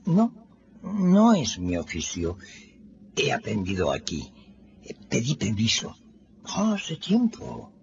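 An actor in a radio drama playing a frail, confused old doctor freed from prison, speaking in a halting, dazed way. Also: male voice, mid-range voice, wildly swooping pitch, gravelly, darkish tone, dull audio, a little hiss or hum, heavily acted. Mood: dazed, vacant. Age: older.

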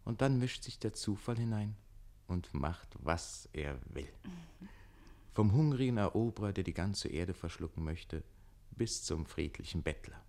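Lively, defiant, quick-witted servant. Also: male voice, deep voice. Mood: reflective, resigned. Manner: declamatory, hair-splitting, impassioned. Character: quick-witted defiant male servant arguing in court, theatrical. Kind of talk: German stage comedy radio play acting.

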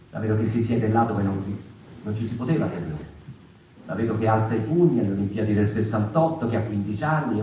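An actor in his fifties playing a reflective, impassioned stage performer in a halting theatrical monologue that repeats words and phrases. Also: lowish voice, mellow, lightly acted. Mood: wistful, musing. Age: 50s. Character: impassioned fifty-year-old stage performer musing on freedom. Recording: echoey, distant, noisy stage room.

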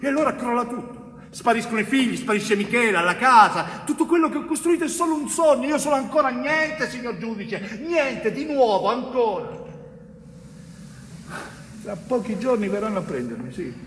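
An actor playing a wrongly convicted Sicilian bricklayer in a theatrical monologue, tormented and tender, pleading haltingly with repetitions and dramatic pauses. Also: male voice, very high voice, animated, a bit bright. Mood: desperate, agitated. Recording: echoey hall, distant mic, faint noise.

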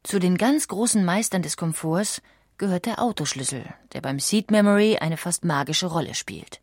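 A woman narrates a documentary script in a lowish voice. Her delivery is measured and articulate, and the recording is dry and close, with very little room sound. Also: lively intonation, a little mellow, dry recording. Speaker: audiobook narrator.

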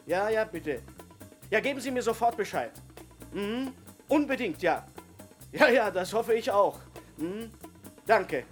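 A man's voice: high voice, expressive, a little slow, long pauses. An actor playing an anxious businessman making an urgent, insistent phone call in a 1970s German radio crime play. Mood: worried, urgent. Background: music with drums.

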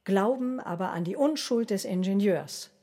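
A woman's voice: medium pitch, lively intonation, moderate pace, warm tone, close to an everyday voice. A woman narrating a scripted film story in short, dramatic sentences. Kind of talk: scripted retelling of a silent film plot.

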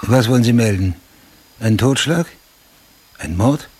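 An actor playing a weary, brooding police detective in a noir radio drama, terse and murmuring, with a gravelly voice. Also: male voice, expressive, measured pace, a little mellow, slight background noise, natural voice. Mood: businesslike. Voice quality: low, gravelly, often murmured. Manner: terse, brooding, muttering to himself. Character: weary, brooding male homicide detective sergeant in Los Angeles. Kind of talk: noir radio drama, clipped first-person monologue.

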